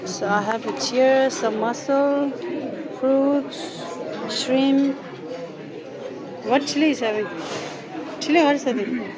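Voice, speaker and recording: A woman's voice: high-pitched, slow, long pauses. A woman chatting casually for a vlog, recorded on a handheld phone in a busy indoor restaurant. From outside Canada, immigrant to Canada. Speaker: vlogger. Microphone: handheld phone camera. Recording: loud restaurant crowd chatter. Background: loud crowd of diners.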